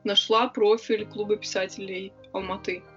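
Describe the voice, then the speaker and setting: A woman's voice: medium pitch, little pitch movement, fairly bright tone, highs cut off, soft background music. Chatty young woman, lots of hesitations. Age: young adult. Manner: chatty and quick, with many hesitation sounds. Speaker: interview guest, writer and club organizer. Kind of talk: casual conversational interview.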